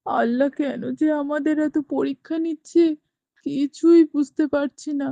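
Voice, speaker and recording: Worried widowed farmer father, done by a woman, cartoon, pleading, warm dark tone, dry lo-fi sound. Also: sits high, dry recording. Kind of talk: cartoon voice acting. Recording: dull lo-fi dry dubbing.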